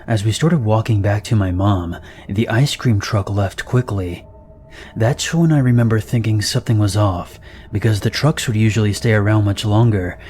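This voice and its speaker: Low-voiced man narrating horror stories. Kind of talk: scripted narration of reader-submitted stories. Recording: narration over loud background music.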